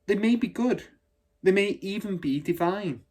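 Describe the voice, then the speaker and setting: A man's voice: sits high, a little slow, darkish tone, pitched above the performer's own voice. A man voicing a kind, patient and cautious woman schoolteacher in a children's storybook, speaking in a careful, explanatory way. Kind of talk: children's storybook read aloud.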